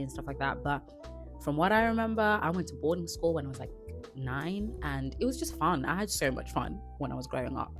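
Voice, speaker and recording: Low-voiced young woman chatting to camera. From Zimbabwe. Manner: chatty and relaxed, with frequent "like" and restarts. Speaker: vlogger. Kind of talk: casual chat to camera.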